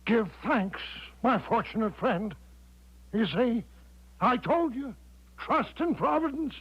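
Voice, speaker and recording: High-pitched, pious, exultant believer. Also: male voice, expressive, slow, breathy edge, dry recording, exaggerated voice. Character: pious, exultant man thanking Providence for his rescue. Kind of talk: 1970s radio drama acting. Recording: muffled vintage radio broadcast.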